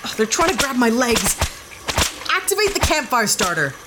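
An actress playing a sharp-tongued young woman lost in an alien forest, sarcastic and exasperated. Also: on the high side, very animated, moderate pace. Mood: frantic, exasperated. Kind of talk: audio drama acting. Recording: forest ambience noise behind.

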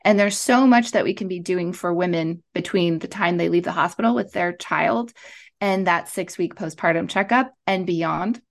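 Animated woman from Montreal telling her story. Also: fairly low pitch, gravelly. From Montreal, Canada. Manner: animated, laughs often, quick asides. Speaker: podcast guest, wellness coach. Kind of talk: casual interview storytelling.

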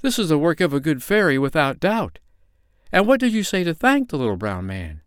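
A man voicing a little girl's gentle, wondering mother in a storybook, with earnest, old-fashioned phrasing. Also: on the high side, animated, a little fast, clean tone, no echo, clean studio sound, voice pushed up, close to an everyday voice. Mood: amazed.

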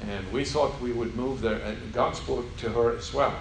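A man's voice: mid-range voice, steady tone, vocal fry. Give me an older Swedish man telling his testimony in an echoey room with background noise. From Sweden. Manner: halting, unhurried storytelling with fillers and soft laughs. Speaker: guest speaker. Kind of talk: personal testimony to a gathering.

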